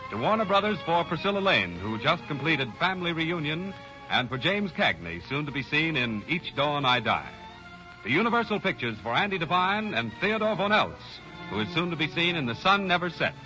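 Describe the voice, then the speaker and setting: Old time 30s radio announcer guy, highish voice that swings around a lot, muffled broadcast. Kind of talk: 1930s scripted radio commercial and credits. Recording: dull old radio broadcast, faint music.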